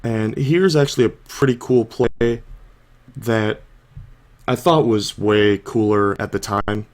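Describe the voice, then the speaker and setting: A man's voice: on the low side, slow pace. A young man with a sore throat, casually talking through a card game match over the footage, thinking aloud with lots of "like" and "you know". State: sore throat, coming down with a cold. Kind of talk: casual video commentary.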